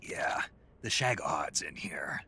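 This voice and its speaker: An actor playing a gruff, stoic special agent in a video game, terse and gravelly. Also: male voice, expressive, measured pace, bright, forceful tone, in a whisper, heavily acted. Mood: terse, focused. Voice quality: very gravelly, husky. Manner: terse, low-key military delivery. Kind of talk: video game cutscene acting.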